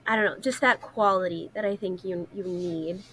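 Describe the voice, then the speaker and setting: A woman's voice: mid-range voice, steady tone, moderate pace, fairly bright tone, low-quality recording. Earnest woman chatting on a podcast. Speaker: podcast co-host. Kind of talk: casual discussion chat.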